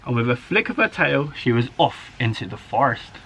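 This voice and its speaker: A man, a primary school teacher, reading a children's story aloud in an expressive, dramatic way. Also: medium pitch, normal speed, a little hiss or hum. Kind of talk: animated children's story narration.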